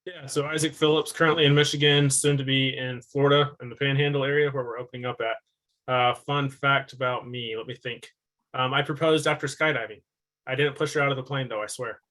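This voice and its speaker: A man who talks fast, with a bright, forward voice. He introduces himself on a video call in a playful, joking way. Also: mid-range voice, fairly even intonation, poor audio.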